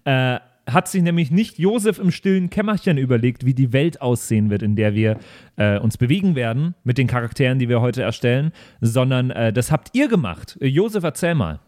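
A man's voice: mid-range voice, lively intonation, brisk, a little mellow, dry recording, studio quality. A man co-hosting a casual podcast chat, enthusiastic and repeating words for emphasis. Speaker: podcast co-host.

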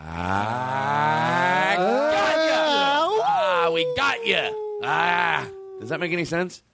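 A man's voice: sits high, wildly swooping pitch, drawn-out words, creaky. A man hosting a comedy podcast, talking casually in a goofy, playful way with earnest asides. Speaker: podcast host.

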